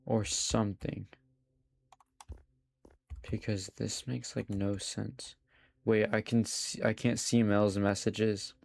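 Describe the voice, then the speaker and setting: Gravelly low-voiced young man streaming. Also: level tone, slow, long pauses, darkish tone. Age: young adult. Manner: chatty, swears casually, talks to chat. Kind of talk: casual live gaming commentary.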